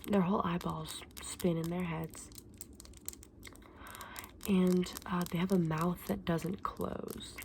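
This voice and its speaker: A woman acts out a kindly fantasy shopkeeper in a whispered ASMR roleplay. Her voice is low and soft, with a crackly fry at the edges. She chats gently and without hurry to her customer, over a little background noise. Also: fairly even intonation. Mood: soft, informative. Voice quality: soft, breathy whisper mixed with low soft speech. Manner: gentle, unhurried, hesitant, endearing customer address. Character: kindly, chatty saleswoman in a dragon egg shop.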